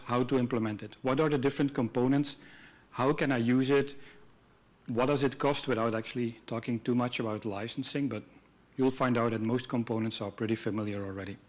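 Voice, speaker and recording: A middle-aged man from Belgium presents on a conference stage through a body mic, speaking English as a second language. His delivery is easygoing and chatty, full of ums and asides to the audience, with an ordinary mid-range voice. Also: mid-range voice. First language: not English. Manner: conversational, relaxed, with frequent ums.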